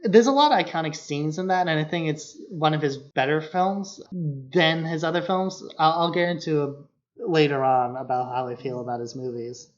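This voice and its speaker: A man's voice: on the high side, moderate pace. Informal male podcaster, slightly muffled sound. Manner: informal, loosely phrased opinions. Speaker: podcast co-host. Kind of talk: casual film chat.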